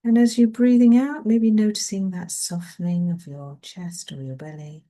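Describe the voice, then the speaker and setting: A woman leading a guided mindfulness meditation in a gentle, soothing manner, leaving long pauses between phrases. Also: fairly low pitch, fairly even intonation.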